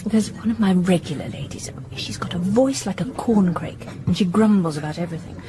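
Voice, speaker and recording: An actress playing a sensible, friendly young English woman in a radio drama, polite and gently teasing. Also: fairly low pitch, fairly quick, close to an everyday voice. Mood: wry, friendly. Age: young adult.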